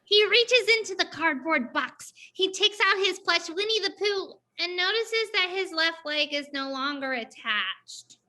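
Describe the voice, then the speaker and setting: A woman's voice: extremely high pitch, lively intonation, measured pace, few pauses, pushed and bright. A woman reading stage directions aloud as scripted narration for a dramatized play reading.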